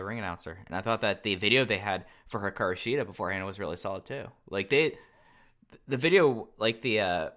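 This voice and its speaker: A man's voice: sits low, bright, forceful tone. A man chatting casually as a panelist, rambling and peppering his sentences with filler words. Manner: rambling, says "like" constantly. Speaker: panelist on a wrestling review show. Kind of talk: casual chat.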